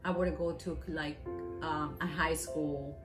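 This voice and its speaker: Woman, from Venezuela, Spanish native, low voice, earnest run-on sentences, music bed. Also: fairly even intonation, slow pace. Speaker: interviewee. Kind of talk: personal migration story. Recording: music playing under the voice.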